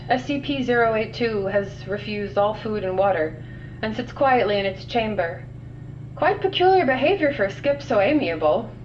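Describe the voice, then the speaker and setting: A woman's voice: medium pitch, close to an everyday voice. A woman playing a weary, sardonic scientist recording containment logs in an audio drama, dry and matter-of-fact. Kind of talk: audio drama log narration. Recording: muffled, distant, noisy log with hum.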